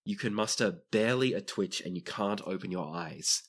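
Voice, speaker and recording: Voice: low-pitched, normal speed, breathy edge. A game master narrating a tabletop roleplaying podcast, switching between dramatic storytelling and dry joking.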